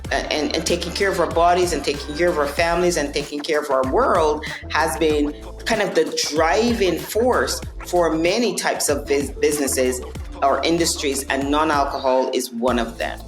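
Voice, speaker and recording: A woman's voice: low-pitched, normal speed, echoey room, music in the background. A woman from Barbados answering interview questions earnestly, often restarting and checking in with "right?". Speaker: non-alcoholic bar owner.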